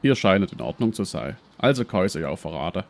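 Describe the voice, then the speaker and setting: Self-important professor played by a man, low-pitched, Swabian accent, brash and shady, for a comic detective audio drama. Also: very animated, fast talker. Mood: explaining. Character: brash, shady male history professor and treasure hunter. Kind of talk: parody detective radio play.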